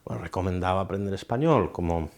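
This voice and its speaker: A man answering an interview thoughtfully, pausing with fillers as he builds his points. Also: low voice, darkish tone.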